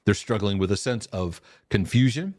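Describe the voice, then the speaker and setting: A man's voice: fairly low pitch, expressive, normal speed, darkish tone, dry recording, clean studio sound. A middle-aged man hosting a live question-and-answer stream, congested and sneezing, talking in a rambling, self-interrupting way with laughs. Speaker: host.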